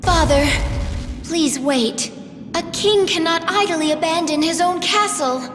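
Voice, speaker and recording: A dutiful warrior princess in a fantasy game. She speaks slowly and formally, earnest and respectful, with music behind her. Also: very high-pitched, roomy sound, long reverb tail. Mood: earnest, contrite. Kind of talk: dramatic video game acting.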